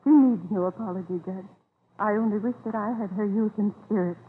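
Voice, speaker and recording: A woman's voice: medium pitch, fairly quick, slightly rough, natural voice. A woman acting a genteel, aggrieved widowed mother in a 1930s radio drama, speaking in a plaintive and hesitant manner. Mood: weary, dignified. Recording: tinny, scratchy 1930s radio recording.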